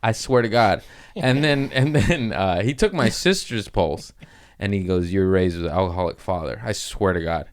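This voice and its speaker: A man's voice: deep voice, normal speed, clear, resonant tone. A man hosting a casual comic podcast, telling rambling stories in slangy, profanity-heavy talk. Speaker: podcast host.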